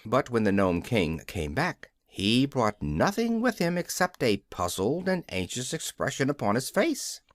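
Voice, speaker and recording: A man reading a children's storybook aloud as scripted narration, in an expressive storytelling manner. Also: mid-range voice, unhurried, hardly any pauses, no echo, studio quality. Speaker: audiobook reader.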